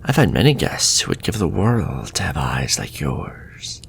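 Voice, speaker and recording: Man playing a deep, slow, gravelly, seductive warlock. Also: big pitch swings, slight background noise, full character voice. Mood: flirtatious, coaxing. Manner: soft, breathy, courteous, seductive, drifting into whispers. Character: suave, flirtatious, sinister male warlock potion seller. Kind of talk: ASMR fantasy roleplay.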